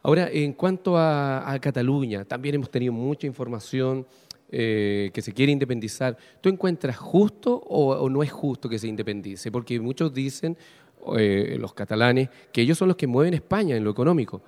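A man's voice: mid-range voice, animated, normal speed, darkish tone. A Chilean man hosting a radio interview, asking long, probing questions full of fillers. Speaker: radio host. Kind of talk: interviewing a guest.

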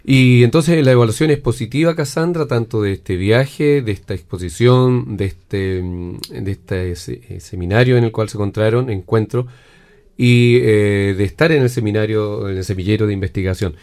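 Male interviewer for campus radio, Chilean accent, fairly deep voice, conversational and reflective delivery. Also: normal speed, darkish tone. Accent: Chilean Spanish.

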